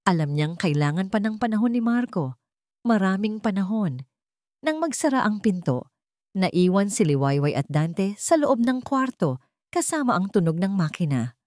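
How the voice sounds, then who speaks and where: A woman's voice: mid-range voice, sing-song, normal speed, darkish tone, no echo, clean studio sound. A woman with a Filipino accent reading a scripted dramatic story as its narrator, in the manner of a radio drama.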